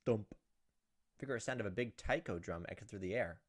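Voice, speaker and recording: Guy doing a let's play, reads story text fast with crude joking asides, game music behind. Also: lowish voice. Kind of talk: reading a visual novel aloud with casual asides.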